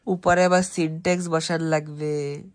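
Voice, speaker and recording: A man's voice: a little high, flat delivery, unhurried. A young man with an East Bengali accent, chatting casually with family as a home vlogger.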